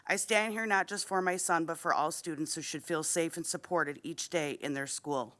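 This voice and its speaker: A woman with a low voice reads a prepared statement in a formal, earnest and measured way. She is slightly unwell and speaks into a podium microphone at a school board meeting. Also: a bit bright. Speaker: parent giving public comment.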